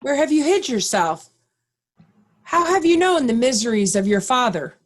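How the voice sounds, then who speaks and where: A woman playing a righteous, commanding nobleman in a Shakespearean stage reading, speaking in a deliberate, declamatory way. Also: high-pitched, big pitch swings. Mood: earnest, moved. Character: righteous, commanding nobleman confronting a wicked wife. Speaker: amateur actor in a reader's theatre.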